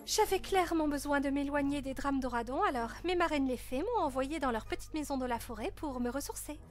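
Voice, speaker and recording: A woman's voice: high voice, brisk, music in the background, close to an everyday voice. A woman voicing a spoiled, jealous teenage princess with a snooty, dramatic manner in a fan-dub narration. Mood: boastful.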